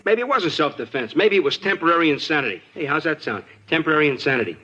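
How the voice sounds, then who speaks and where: Cocky criminal, American, sharp forceful tone, slangy, evasive, 1950s radio acting, dull lo-fi recording. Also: male voice, fairly high pitch, animated, fast talker, husky, exaggerated voice. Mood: cocky, sarcastic. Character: insolent, cocky male cop-shooting army deserter. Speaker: actor.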